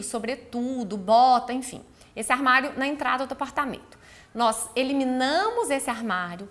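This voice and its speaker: Bright, high-voiced Brazilian woman presenting. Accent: Brazilian Portuguese. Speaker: architect presenting her interior design project. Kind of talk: informal explanatory presentation.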